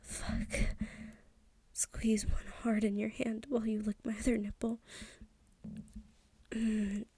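A woman's voice: a little high, level tone, slow pace, long pauses, no room sound. A young woman whispering close to the mic in a pleading, submissive tone for an intimate audio roleplay. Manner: breathy whispering, close and hushed.

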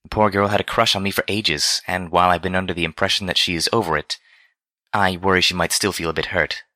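A prim, velvety pony lady, acted out by a male narrator for a storybook. The delivery is smooth and genteel with a teasing streak, crisp and bright, recorded very dry. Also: low voice, steady tone, quick pace, no room sound. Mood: harried concern. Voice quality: smooth, silky. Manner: formal, genteel diction.

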